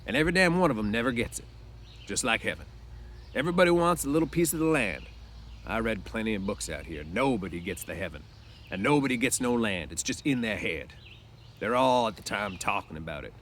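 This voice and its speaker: A man's voice: fairly low pitch, lively intonation, brisk, a bit bright, a little hiss or hum. A man voicing a lonely, bitter, scornful stable hand in a storybook, with folksy, ungrammatical ranch speech.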